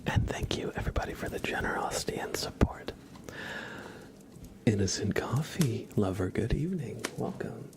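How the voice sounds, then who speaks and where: A man hosting an ASMR livestream, speaking in a gentle, mostly unvoiced whisper and repeating phrases unhurriedly. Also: fairly low pitch, expressive, moderate pace, creaky. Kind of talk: ASMR, greeting viewers and tracing their names.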